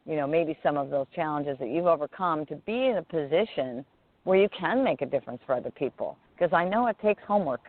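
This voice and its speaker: A woman's voice: low-pitched, animated, quick pace, no room sound. A woman hosting an interview show, introducing and questioning her guest with eager enthusiasm. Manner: enthusiastic, uses fillers like "you know". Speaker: host. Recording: dry, tinny call audio.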